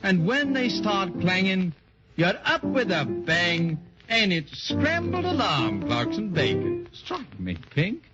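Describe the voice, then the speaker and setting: An actor playing a chummy, boastful, fussy Cockney ostrich in a 1930s children's radio serial. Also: male voice, sits high, wildly swooping pitch, slow, heavily acted. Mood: jaunty singing. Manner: jaunty, fussy, self-important. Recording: dull 1930s radio recording with music.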